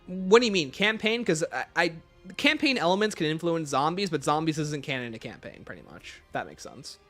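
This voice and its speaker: Animated, higher-pitched male livestreamer. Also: a little fast, faint music behind. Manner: reads questions aloud, then answers offhand. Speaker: livestream host. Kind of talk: casual livestream chat answering viewer questions.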